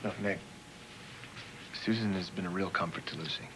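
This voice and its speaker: A man's voice: low voice, normal speed, noisy background, natural voice. An actor playing a tense, defensive man protecting a friend going through a hard time. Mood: subdued. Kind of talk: TV drama acting.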